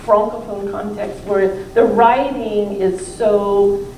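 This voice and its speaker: A woman's voice: medium pitch, fairly even intonation, takes it slowly, dark tone. Woman lecturer in a roomy, distant recording. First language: not French (non-native French speaker). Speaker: professor and author presenting her book. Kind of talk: informal question-and-answer discussion at a book talk. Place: library event room, recorded from a distance. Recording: roomy, distant mic with faint room noise.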